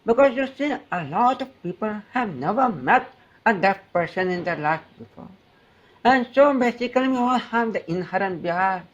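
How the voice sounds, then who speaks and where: Male guest, earnest and hopeful delivery, muffled lo-fi recording. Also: high voice. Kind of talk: interview answer. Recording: dull lo-fi sound.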